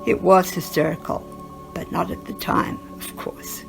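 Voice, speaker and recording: A woman's voice: medium pitch, wildly swooping pitch, a little slow, gravelly, music in the background, low-quality recording. A woman reading first-person recollections aloud as a narrator. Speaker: reader of written testimonials.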